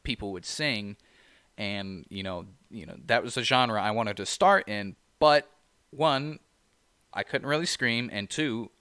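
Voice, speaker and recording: A man with a low, smooth, resonant voice talks in a loose, rambling way, leaning on "you know" between thoughts. His tone is bright and forward. The sound is dry and close, with no room echo. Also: a little slow. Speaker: podcast co-host. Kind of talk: casual chat.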